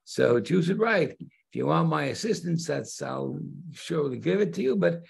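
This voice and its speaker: An older man, a rabbi, giving an unhurried online Torah class, pausing often with fillers and restarts, speaking on a video call from a room by large windows. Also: fairly high pitch, animated, creaky, breathy edge. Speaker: rabbi teaching converts.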